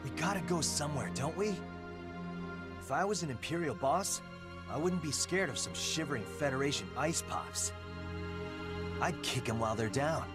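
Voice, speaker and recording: A man's voice: on the high side, expressive, normal speed, long pauses, over loud music, close to an everyday voice. A man with an American accent playing a brash, blunt, griping young soldier in a game drama. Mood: griping, impatient. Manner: blunt, slangy. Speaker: game voice actor.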